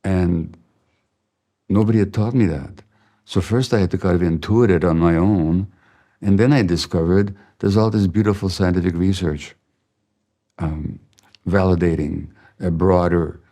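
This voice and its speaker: An older man, a Hungarian native speaker, giving a slow, measured, reflective masterclass lecture as a physician. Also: sits low, fairly even intonation, warm tone.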